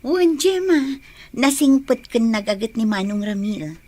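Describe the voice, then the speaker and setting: A woman with an Ilocano accent playing a composed young village woman being courted in a radio drama, measured and coy. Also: a little high, expressive, mellow. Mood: friendly.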